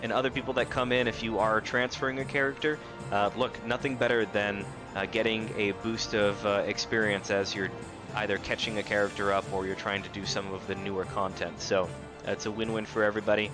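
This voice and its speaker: A man's voice: fairly low pitch, flat delivery, fairly quick. A man of thirty chatting casually and ramblingly to viewers as he livestreams a video game, with a self-deprecating streak. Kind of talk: casual live gaming commentary, chatting to viewers. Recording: music behind the voice. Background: loud game music.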